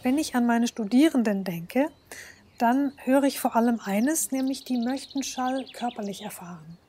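A woman's voice: a little high, normal speed, dark tone, slight background noise. A woman speaking reflectively in an interview, explaining things as a lecturer would.

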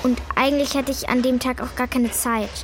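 A woman's voice: high voice, steady tone, a little fast, music in the background, close to an everyday voice. A female performer playing a reluctant, candid schoolgirl in a children's radio play, speaking in a hesitant, defensive, childlike way.

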